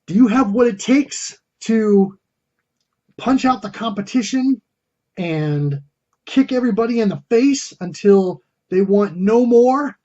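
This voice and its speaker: A man's voice: high voice, lively intonation, slow, mellow, highs cut off. A man hosting a retro video game review, reading scripted narration in a theatrical, wordy and wry manner.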